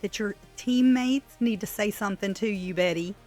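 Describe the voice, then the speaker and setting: A woman's voice: medium pitch, normal speed, a little mellow. Woman cutting in with dry blunt comments, faint music underneath. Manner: wry, blunt agreeing asides. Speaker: commentary channel host. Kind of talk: voice-over commentary on a clip.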